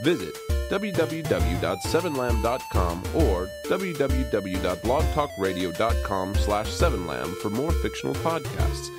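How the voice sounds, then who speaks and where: A man's voice: fairly low pitch, very animated, measured pace, few pauses, over loud music. A man reading scripted narration and credits as the narrator of an audio story.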